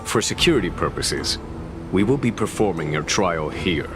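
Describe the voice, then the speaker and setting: A shrewd game general with a deep voice. He is composed, formal and dryly authoritative, with music clearly playing behind him. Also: lively intonation. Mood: stern, measured. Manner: formal, measured, dry. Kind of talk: video game voice acting.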